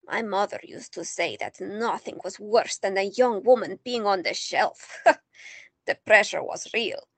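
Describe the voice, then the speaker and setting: A woman playing an older Greek-born artist and grandmother, calm and dryly teasing in an audio drama. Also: mid-range voice, a little slow, breathy edge, dry recording. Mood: wry. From Greece.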